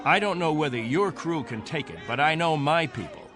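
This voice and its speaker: An actor playing a stern, proud, controlling captain of stranded settlers in a radio drama, with a commanding, insistent manner. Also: male voice, a little high, animated, moderate pace, fairly bright tone. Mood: troubled, disapproving. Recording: radio drama with music underneath.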